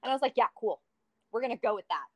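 A woman in her twenties, a high school English teacher, chatting casually and acting out quotes as she tells stories. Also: racing, lo-fi sound. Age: 20s. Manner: chatty, animated storytelling with acted-out quotes. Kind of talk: casual conversation.

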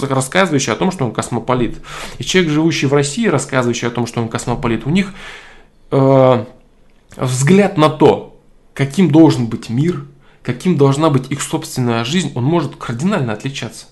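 A man giving an opinionated political monologue, stressing points by repeating them and pausing often on hesitation sounds. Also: mid-range voice, expressive, darkish tone. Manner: emphatic, repeats key phrases, frequent hesitation fillers.

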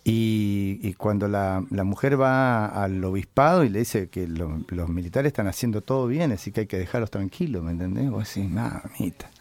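An older man from Chile, a musician answering interview questions casually, halting and reflective as he searches for words. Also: on the low side, a little mellow.

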